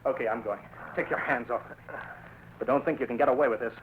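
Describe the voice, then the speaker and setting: An actor playing a brash, cocky American tabloid reporter with slangy, sneering delivery in 1940s radio style. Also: male voice, medium pitch, quick pace, natural voice. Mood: sneering defiance. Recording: muffled, noisy old radio recording.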